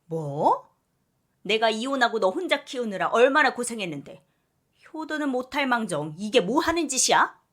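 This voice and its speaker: A woman's voice: a little high, sing-song, moderate pace, a bit bright, voice pushed up. A woman voicing a greedy, shameless middle-aged mother in a story, pushy and accusing. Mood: outraged. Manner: pushy, accusing, whining demands.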